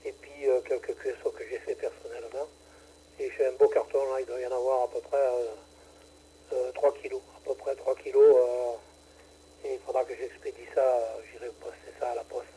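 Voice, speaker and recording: A man's voice: mid-range voice, little pitch movement, a little fast, mellow, slight background noise, poor audio. A man running a ham radio net, chatting casually and hesitantly with many fillers, from southern France. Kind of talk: casual on-air radio chat.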